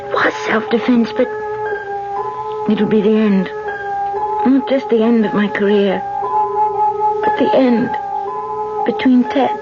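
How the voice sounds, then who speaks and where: An actress playing an anxious young woman running for office who hides a past killing, with a halting, fearful stammer, in a 1970s radio drama on a dull vintage recording. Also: fairly high pitch, loud music behind the voice.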